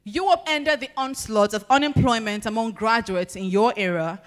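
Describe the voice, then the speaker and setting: A young Nigerian woman with a Nigerian accent performing spoken word poetry at a podium, with measured, expressive pacing and deliberate pauses. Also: a little high. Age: young adult. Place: large banquet hall at a podium. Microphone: handheld microphone.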